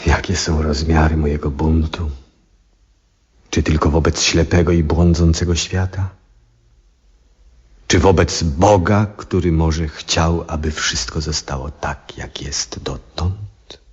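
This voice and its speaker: An actor playing an aging, troubled, devout astronomer in a 1970s radio drama, speaking in a solemn, reflective and husky way. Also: male voice, very deep voice, steady tone, darkish tone, heavily acted. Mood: anguished doubt. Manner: solemn, reflective, theatrical, deliberate phrasing. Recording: dull vintage radio recording.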